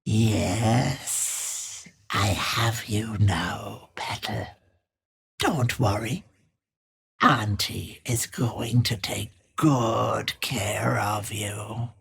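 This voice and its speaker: A woman's voice: extremely low pitch, very slow, creaky, slightly rough, heavily acted. A woman voicing a wicked old hag who poses as a sweet, folksy auntie in a video game, with cloying endearments and theatrical menace. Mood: menacing. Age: older. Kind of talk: video game character acting.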